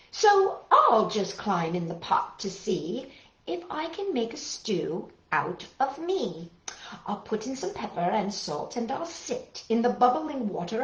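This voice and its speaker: A woman hosting storytime for young children, talking, reading and singing in a playful, encouraging way. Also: a little high. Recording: echoey room, dull lo-fi audio.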